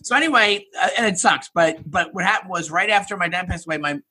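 A middle-aged American man with a somewhat high, bright and forceful voice that has a husky rasp to it. He tells casual comic stories as a podcast guest, rambling and poking fun at himself. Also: quick pace. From Long Island, New York, United States. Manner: rambling, self-deprecating. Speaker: podcast guest, stand-up comedian.

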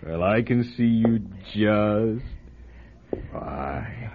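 An actor playing a menacing male killer's ghost in an old-time radio drama, with low gloating menace. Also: lively intonation, extremely slow. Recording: tinny lo-fi radio broadcast with hiss.

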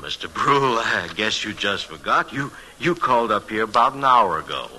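An actor playing a polite, chatty post office clerk in an old radio drama. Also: male voice, fairly low pitch, expressive, moderate pace, sharp tone, heavily acted. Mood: helpful, puzzled. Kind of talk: 1940s radio acting.

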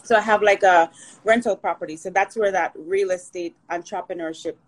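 A woman from Jamaica, chatting as a podcast guest in an upbeat way and often pausing to find her words. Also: medium pitch. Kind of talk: casual interview chat.

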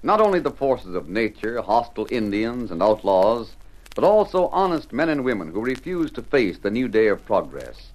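A man's voice: medium pitch, low-quality recording. A man narrating an old-time radio western serial in a dramatic, declamatory announcer's style.

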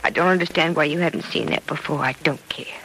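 Despondent radio-serial patient, noisy recording. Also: female voice, deep voice, fast talker, a bit bright. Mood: bitter, resistant. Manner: bitter, resigned, hesitant. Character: despondent, stubborn young woman patient losing her sight. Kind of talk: old-time radio serial drama acting.

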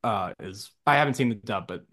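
A man co-hosting a podcast who reacts excitably, swears, and restarts his sentences. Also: lowish voice, a little fast. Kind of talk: casual podcast chat.

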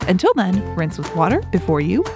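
A woman hosting a children's show, telling stories and giving simple instructions in an expressive way for young listeners. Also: lowish voice, brisk. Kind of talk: scripted storytelling and instructions for children. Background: music.

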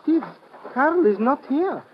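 A man's voice: very high voice, animated, measured pace. An actor playing a loyal, anxious sidekick in old radio adventure, hesitant and deferential in his speech. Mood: hushed, uneasy. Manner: hesitant, deferential, speaks of himself in third person. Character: loyal, earnest, anxious male sidekick of the hero. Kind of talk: 1940s-50s radio adventure acting. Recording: dull, hissy old radio recording.

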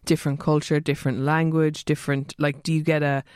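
A man's voice: on the high side, level tone, a little fast, a little mellow, clean tone, no room sound, studio quality. A man conducting a casual interview, asking rambling, curious questions as he thinks aloud.

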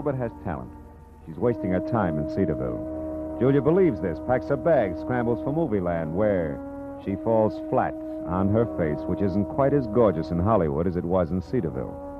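An actor playing a dry, wisecracking private detective who also narrates, in 1940s radio acting. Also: male voice, fairly low pitch. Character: hard-boiled male private eye, dry and wry. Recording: tinny old radio broadcast with music.

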